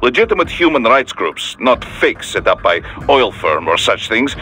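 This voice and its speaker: A seasoned Russian security chief in a video game, with a bright, forceful voice and a Russian accent. He briefs dryly and pragmatically, with lively intonation over faint music. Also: mid-range voice. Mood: matter-of-fact, measured. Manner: measured, dry, matter-of-fact briefing. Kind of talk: video game radio dialogue acting.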